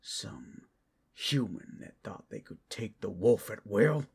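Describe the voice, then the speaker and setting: Guy doing a cursed werewolf for asmr roleplay, super slow n husky, predatory, keeps slipping into whispers. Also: fairly low pitch, expressive, a little mellow, exaggerated voice. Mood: scornful, bitter. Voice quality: gravelly, husky, often breaking into whispers. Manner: slow, dramatic, swinging between whispers and outbursts. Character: menacing, cursed captive werewolf man, predatory and taunting. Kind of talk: ASMR audio roleplay acting.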